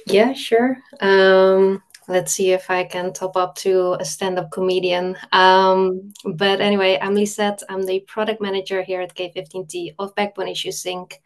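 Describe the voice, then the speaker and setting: Dutch-accented woman, product manager on a webinar, even, flat tone, easygoing. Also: medium pitch, a little slow, few pauses. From Netherlands. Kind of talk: casual webinar introduction.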